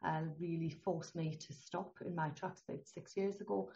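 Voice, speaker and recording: A middle-aged to older woman with a northeast English accent, a holistic healthcare guest talking in a chatty, animated way and laughing often. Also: lowish voice, moderate pace, vocal fry. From northeast England. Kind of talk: conversational interview. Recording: dull lo-fi sound.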